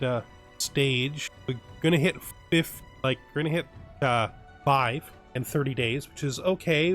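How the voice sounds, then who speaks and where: Man muttering through a strategy game. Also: medium pitch. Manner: thinks aloud, hesitant, often corrects himself. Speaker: let's play host. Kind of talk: casual gameplay commentary with read-out game text.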